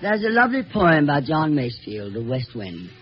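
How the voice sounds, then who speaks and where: Ceremonious male 1950s radio presenter, expressive pitch. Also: moderate pace, over loud music. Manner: reverent, ceremonious. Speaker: radio show presenter introducing a guest. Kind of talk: formal 1950s radio introduction. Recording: muffled old 1950s radio broadcast.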